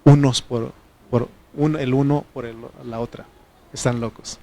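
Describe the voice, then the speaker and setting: A man's voice: mid-range voice, fairly even intonation, unhurried, warm tone, no echo. A middle-aged man from Mexico with a Mexican Spanish accent, whose first language is Triqui, preaching a folksy sermon and asking the congregation for responses. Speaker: pastor.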